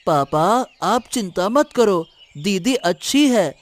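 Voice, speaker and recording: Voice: mid-range voice, lively intonation, takes it slowly, no room sound. A performer playing a carefree, eager young village boy in a cartoon moral story. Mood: cheerful, eager.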